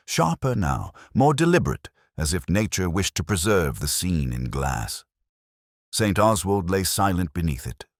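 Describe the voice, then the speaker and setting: Very low male voice, actor as a brooding police inspector, solemn literary narration, expressive swings, detective audio drama. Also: no room sound, studio quality, heavily acted. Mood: somber, reflective. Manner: solemn, literary, measured phrasing.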